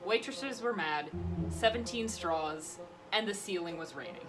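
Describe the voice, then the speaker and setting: A woman's voice: on the low side, a little slow, over music, poor audio. A young woman vlogger telling personal stories in a casual, chatty, playful way, recapping details with mock seriousness. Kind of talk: casual chatty storytelling with a friend.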